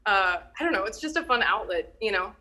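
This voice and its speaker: A woman's voice: medium pitch, expressive, fairly quick, sharp tone. A woman from Minnesota with a light Minnesotan accent, chatting casually and laughing often as a guest over a video call. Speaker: hairstylist and TikTok creator, guest. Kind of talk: casual chat.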